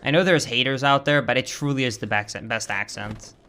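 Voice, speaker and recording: A young man with a Swedish accent, chatting casually and reacting loudly while he streams a game. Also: mid-range voice, a little fast, faint music behind. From Sweden. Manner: casual, chatty, swears and flares up over game lag. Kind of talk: live-stream gameplay commentary.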